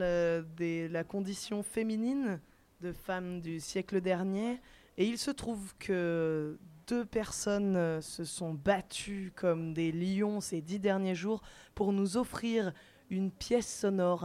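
A woman's voice: mid-range voice, mellow. A woman hosting a live radio show, presenting to an audience with hesitant phrasing that builds up dramatically.

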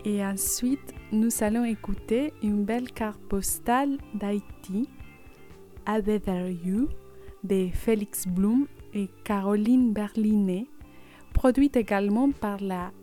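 A woman's voice: mid-range voice, takes it slowly, dark tone. A woman radio host giving a scripted presentation of a programme. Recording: music behind the voice. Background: music.

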